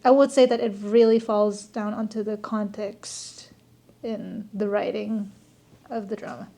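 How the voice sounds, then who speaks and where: A woman's voice: mid-range voice, fairly even intonation, measured pace, creaky, mellow, a little hiss or hum. A young woman from Korea, chatting eagerly as a student reacting to clips, often laughing and repeating herself as she thinks. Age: young adult. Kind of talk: casual reaction chat.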